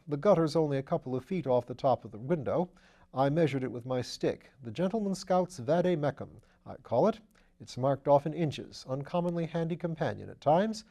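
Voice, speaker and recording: A man voicing a breezy aristocratic gentleman detective in an audiobook reading, chatty and full of upper-class slang. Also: on the high side. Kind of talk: audiobook character voice.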